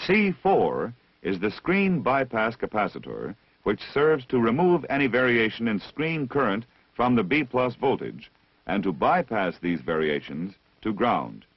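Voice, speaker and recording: Husky vintage training film narrator, man. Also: lowish voice, no room sound. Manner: measured, didactic, deliberate enunciation. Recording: dull, band-limited vintage film soundtrack.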